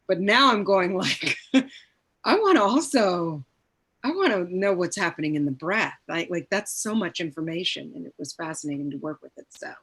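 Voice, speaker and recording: A woman's voice: on the low side, lively intonation, normal speed, fairly bright tone, husky. A woman actor talking reflectively in a panel conversation, searching for words and stressing her points, often saying "like". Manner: searching, emphatic, repeats "like". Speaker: stage actor in a cast discussion.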